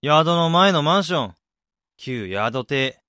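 A man's voice: a little high, expressive, slow, bright, forceful tone, smooth, no room sound, clean studio sound, full character voice. A man playing a sociable, teasing senior office worker who leads his group of friends in a radio drama. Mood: nostalgic. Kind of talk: Japanese radio drama acting.

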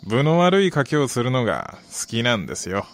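An actor playing a middle-aged, wistful gambling soccer fan in a radio drama, polite and measured with a rueful, quietly menacing edge. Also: male voice, medium pitch, huge pitch swings. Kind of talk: Japanese radio drama acting.

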